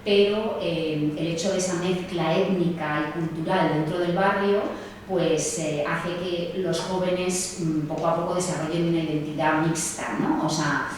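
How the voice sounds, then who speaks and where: A woman's voice: fairly low pitch, fairly even intonation, unhurried, a little hiss or hum. A woman, a sociologist, speaking at a seminar in a hesitant way, with many fillers and tag questions. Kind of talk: seminar talk. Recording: echoey distant room mic, faint ambience.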